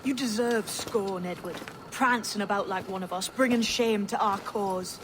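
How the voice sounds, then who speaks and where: A man playing an earnest assassin devoted to a creed in a video game, solemn and deliberate in delivery. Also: high voice, background noise, lightly acted. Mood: scornful. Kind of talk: video game cinematic acting.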